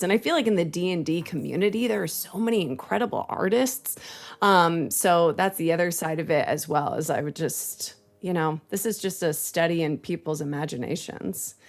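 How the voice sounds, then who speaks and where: Very low-voiced woman in chatty podcast talk. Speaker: voice actor and series guest. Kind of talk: casual podcast chat.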